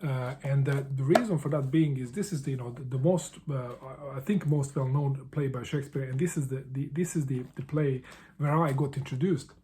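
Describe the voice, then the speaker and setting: Mellow, halting man. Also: medium pitch, breathy edge. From Bosnia. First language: Bosnian. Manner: halting, with frequent restarts and repeated words. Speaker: book vlogger. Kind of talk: casual answers to a book tag.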